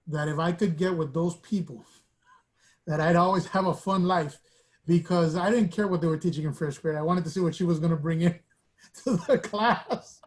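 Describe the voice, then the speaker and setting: Man from Miami, interview guest, fairly high voice, reflective storyteller who restarts his sentences. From Miami, United States. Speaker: guest artist.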